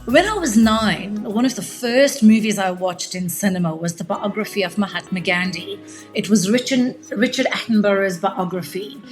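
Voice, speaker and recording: Measured woman telling her story. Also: medium pitch, soft background music. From South Africa. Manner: measured, articulate, explanatory. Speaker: guest, media tech executive. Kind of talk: podcast interview, long storytelling answer.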